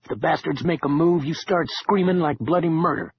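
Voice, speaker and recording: A male narrator playing a gruff, fiercely loyal friend. He talks bluntly, with slang and clipped phrases. The sound is muffled and lo-fi, with faint hiss. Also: a little high, fairly quick, natural voice.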